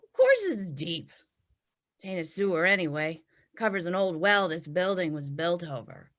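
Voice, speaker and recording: A woman's voice: fairly low pitch, very animated, moderate pace, fairly bright tone. A woman playing a bossy, scolding older mother-in-law with folksy, impatient speech in an old-time radio drama. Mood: crabby impatience.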